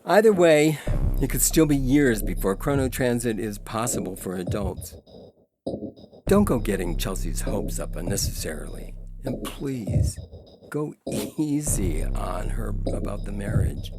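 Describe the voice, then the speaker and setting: A man's voice: on the low side, lively intonation, measured pace, very noisy. An actor playing a weary, frustrated scientist who is a husband and father, in an audio story. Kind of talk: dramatic reading of audio fiction. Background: music.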